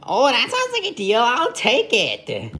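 A woman's voice: a little high, huge pitch swings, a little slow, fairly bright tone. A girl voicing bouncy broke Luigi plush. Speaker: plush toy puppeteer. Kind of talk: improvised toy video. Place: home bedroom.

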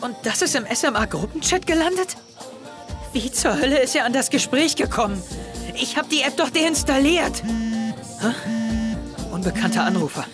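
A man's voice: very high voice, very animated, moderate pace, pauses often, music in the background. A young man playing an anxious teenage schoolboy detective in an audio drama, intense and confiding. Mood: desperate. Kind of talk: German audio drama acting, close narration.

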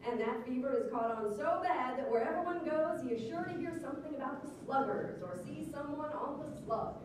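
A woman with a fairly high voice reads old print aloud with theatrical formality. She sounds distant in a very echoey hall, with clear noise around her. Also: lively intonation, fairly quick. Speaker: reader at a live literary reading. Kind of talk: theatrical reading aloud of old newspaper articles. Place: museum lecture hall with live audience. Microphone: stand microphone on stage, distant camera recording. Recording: echoey, noisy hall recorded from far off.

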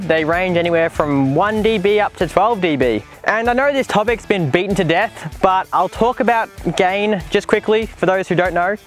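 A man in his twenties with an Australian accent, casually explaining to camera as a vlog host outdoors. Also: high-pitched. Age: 20s. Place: outdoors in dry bush clearing beside a 4WD.

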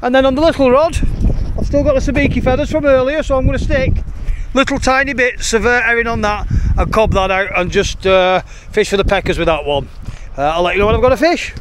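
High-pitched man vlogging outdoors. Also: a little fast. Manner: jokey, chuckly, playful with slangy dialect. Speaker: host of a fishing vlog. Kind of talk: casual chatty outdoor vlog commentary to viewers. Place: outdoors on a sea breakwater at night. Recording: noisy outdoor recording on a waterside.

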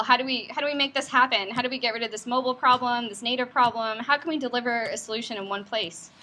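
A woman giving a conversational tech talk at an office meetup, friendly and upbeat, with plenty of fillers and questions to the audience. Her voice sits a little high and sounds bright and forceful. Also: a little hiss or hum. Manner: casual with frequent fillers. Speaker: meetup presenter. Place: company office meetup room.

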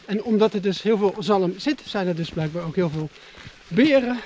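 A man's voice: high-pitched, expressive, normal speed, darkish tone, slight background noise, low-quality recording. A man talking casually to the camera outdoors on a road trip, chatty and hesitant with fillers. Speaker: travel vlogger. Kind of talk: casual travel vlog talk to camera. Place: outdoors by a river.

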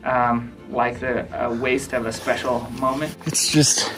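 A man's voice: medium pitch, fairly even intonation, unhurried, a bit bright, soft background music, rough recording. A man in his thirties, a hiker, talking casually in a vlog style. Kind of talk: casual trail vlog talk and recollection.

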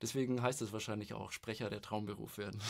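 A man's voice: lowish voice, fairly even intonation, normal speed, vocal fry. A man hosting a podcast, interviewing in a casual, conversational style. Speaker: podcast host.

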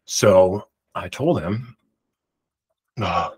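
A man in his forties telling a rambling personal story to camera in a room at home, talking emphatically and projecting loudly. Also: sits low, very slow, husky. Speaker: vlogger. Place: home room with pictures on the walls. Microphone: large studio mic beside him.

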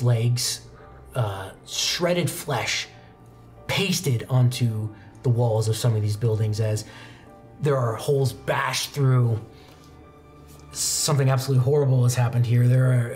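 A young man narrating a horror tabletop roleplaying game as game master, with deliberate, suspenseful descriptions and dramatic pauses. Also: mid-range voice, a little mellow. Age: young adult. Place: indoor studio game set at a desk. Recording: music playing behind the voice. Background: background music.

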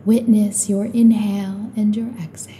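Woman, meditation guide, very soft dark tone, slow and even, calm, long pauses, faint ambient noise behind. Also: medium pitch.

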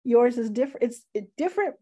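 A woman's voice: on the high side, animated, fast, dark tone. A middle-aged woman with a Texan accent hosting a casual video call interview, curious and enthusiastic. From Texas. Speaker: interview host. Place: home room indoors. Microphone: webcam, video call.

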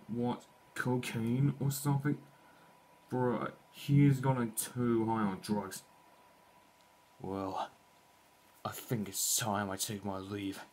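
A man's voice: medium pitch, a little mellow, slight background noise, close to an everyday voice. A man voicing a jaded, sarcastic young rival in fan game voice lines, exasperated and dismissive, full of slang.

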